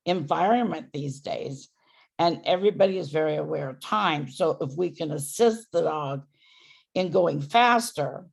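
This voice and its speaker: A hoarse woman dog trainer giving loud, emphatic instructions in a casual chat over a video call. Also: lowish voice. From San Francisco Bay Area.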